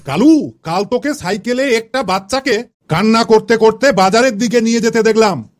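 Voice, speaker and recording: A man's voice: extremely high pitch, normal speed. A man voicing an inquisitive, scolding male schoolteacher in a comedy cartoon, questioning and chiding his pupils.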